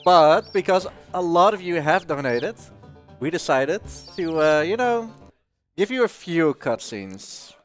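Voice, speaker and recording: Animated man doing casual gaming commentary. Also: fairly high pitch, measured pace, soft background music. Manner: joking, chatty. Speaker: speedrunner.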